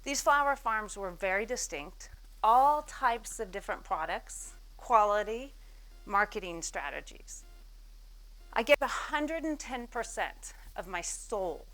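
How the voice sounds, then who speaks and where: An American woman in her forties tells her business story from a stage mic at a moderate, middle pitch. She swings her pitch and loudness widely as she acts out the people she quotes, and her self-mocking jokes keep the talk lively. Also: a little slow, faint music behind, rough recording. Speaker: speaker giving a business talk. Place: event stage before an audience.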